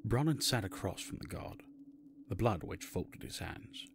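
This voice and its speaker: Male narrator for scripted fantasy, low register, faint noise behind the voice. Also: lively intonation, a little slow.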